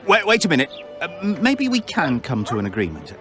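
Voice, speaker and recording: A wheedling, evasive shopkeeper in a fantasy video game. His medium voice jumps around excitably and defensively, with music clearly playing behind him. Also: fairly high pitch, brisk. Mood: panicky. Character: shifty, defensive male incense shopkeeper.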